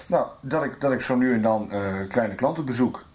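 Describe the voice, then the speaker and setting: A blunt and impatient lover played by a male actor in a radio soap. His voice sits at a middle pitch. He talks in a casual, dismissive way. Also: animated, normal speed, a little mellow, close to an everyday voice. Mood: irritated, secretive. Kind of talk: Dutch radio soap acting.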